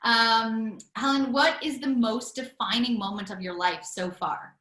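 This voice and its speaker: A woman hosts an interview with upbeat enthusiasm, laughing often and answering her guests with quick affirmations. Her voice is bright and forward, and the room around her rings with noticeable echo. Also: fairly high pitch. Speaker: podcast host.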